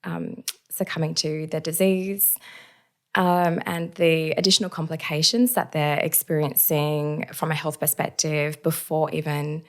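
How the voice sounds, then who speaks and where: A woman with a raspy, hoarse voice from a recent illness, talking reflectively as a podcast guest with many soft fillers. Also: sits low, flat delivery, unhurried. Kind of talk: casual interview chat.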